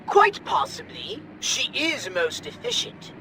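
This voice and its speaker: An actor playing a haughty, unflappable alien commander in a video game, pompous, theatrical and condescending. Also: male voice, extremely high pitch, huge pitch swings, takes it slowly, vocal fry, background noise, exaggerated voice. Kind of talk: video game cutscene acting.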